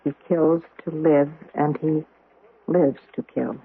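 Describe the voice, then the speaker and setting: An actress playing a sharp-witted, bookish older American woman in a radio drama, speaking precisely with wry emphasis. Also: low voice, steady tone, slow pace, creaky, husky, narrow, tinny audio, exaggerated voice. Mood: pondering, intent. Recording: thin band-limited old radio recording.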